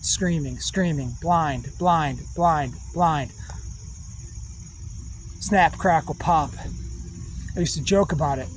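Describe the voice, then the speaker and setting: Slow meditative man, slightly high voice. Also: slight background noise, low-quality recording. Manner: slow, drawn-out, meditative phrasing with long pauses. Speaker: spiritual speaker. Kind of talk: rambling spiritual monologue.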